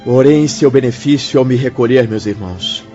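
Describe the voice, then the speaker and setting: An actor playing a gentle, devout man who counsels his friends in formal, measured radio drama. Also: mid-range voice, lightly acted. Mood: gentle, reassuring. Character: Fernando, gentle, devout male medium, encouraging counsellor. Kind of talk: Brazilian radio drama acting. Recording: slightly dull audio, music behind.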